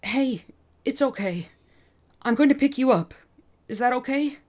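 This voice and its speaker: A woman voicing the listener's protective young adult character, calm and coaxing. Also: sits high, unhurried, voice pushed up. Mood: soothing. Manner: pleading, then soothing and coaxing.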